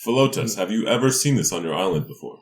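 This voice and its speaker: Very deep-voiced man role-playing formally. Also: animated, quick pace. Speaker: tabletop game player.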